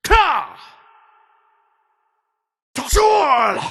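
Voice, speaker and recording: A man voicing a fierce lizard-man warrior in a video game, barking clipped magic words with gravelly force. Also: very high voice, sing-song, very slow, bright, forceful tone, exaggerated voice. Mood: fierce, shouting.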